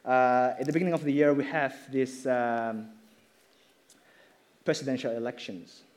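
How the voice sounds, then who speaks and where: Man preaching, mellow voice. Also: medium pitch, steady tone. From Indonesia. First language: Indonesian. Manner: halting, with many fillers and restarts. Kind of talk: sermon with slides.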